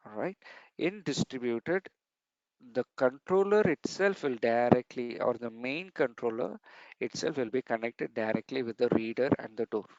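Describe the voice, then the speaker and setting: A man's voice: mid-range voice, a little mellow, no echo, dull audio. A man giving a technical training lesson over slides, explaining step by step and repeating key points.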